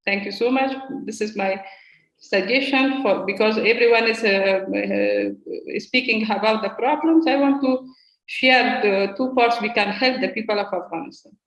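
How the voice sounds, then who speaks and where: A woman's voice: mid-range voice, gravelly, echoey room, low-quality recording. Hesitant woman speaking non-native English. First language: not English. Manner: halting English, many fillers and restarts. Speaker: Afghan parliamentarian in exile. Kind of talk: roundtable remarks.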